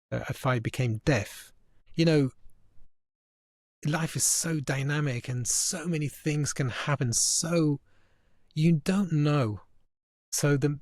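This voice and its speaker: A man in the middle of the usual pitch range talks through his thoughts with many stops and restarts. He was raised in Italy but his English carries only a faint northern tinge. The sound is close and dry. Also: darkish tone, no echo. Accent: English with a slight northern tinge. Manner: halting, many restarts and fillers. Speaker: photographer guest. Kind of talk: casual interview conversation.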